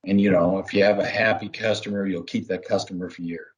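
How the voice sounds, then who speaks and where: A man giving explanatory interview answers as a business development manager, halting at times and laying out points one by one. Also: low-pitched, steady tone, lo-fi sound.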